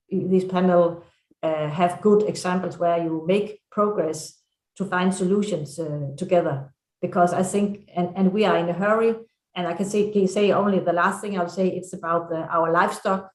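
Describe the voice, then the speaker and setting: Low-voiced Danish woman in an echoey room. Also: darkish tone. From Denmark. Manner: halting, searching for words. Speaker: farmers' council vice president, panel speaker. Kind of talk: unscripted panel remarks.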